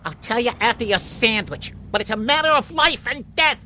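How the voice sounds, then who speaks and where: A woman's voice: on the high side, expressive, a little fast, pushed and bright, faint music behind. A woman playing a plucky, chatty water molecule living inside a snowman, matter-of-fact and pushy, in a children's audio drama. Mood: inquisitive, coy.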